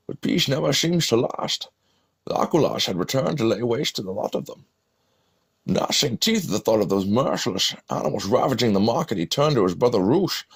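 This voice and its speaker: A man doing a parody of an old Scottish film star, narrating a mock-epic fantasy battle for a video game in a husky, gravelly voice with halting, muttered asides. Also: medium pitch, full character voice. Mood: rambling, amused. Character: parody elderly Scottish screen legend narrating fantasy battle, wryly amused.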